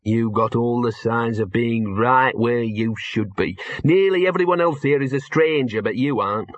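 A man's voice: medium pitch, moderate pace, no room sound, lo-fi sound, natural voice. A man voicing a mournful, know-it-all talking dog in an audiobook, muttering and whining in colloquial speech. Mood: explaining, exasperated. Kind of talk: audiobook character voice.

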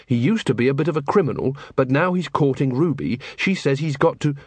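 A man voicing an honest, literal-minded young constable in an audiobook reading, calm and earnest. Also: mid-range voice, brisk, husky, no room sound, close to an everyday voice.

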